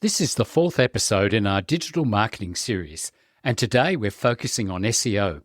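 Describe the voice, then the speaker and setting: A man's voice: on the low side, expressive, a little fast, no room sound, studio quality. A man hosting a podcast interview, welcoming his guest with enthusiastic, effusive praise. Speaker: podcast host.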